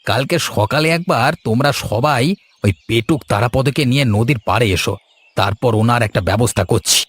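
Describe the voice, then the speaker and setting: A man's voice: mid-range voice, brisk, breathy edge, lightly acted. A man playing a clever, confident village schemer in a cartoon story, speaking in an explanatory way. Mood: confident plotting. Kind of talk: Bengali cartoon story voice acting. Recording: music behind the voice.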